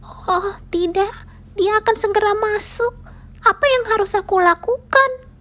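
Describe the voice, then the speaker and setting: A woman's voice: very high voice, a little slow, bright, forceful tone, pitched above the performer's own voice, exaggerated voice. A woman voicing a small, timid, polite mouse in a children's storybook tale. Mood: panicked. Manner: frightened, polite, pleading.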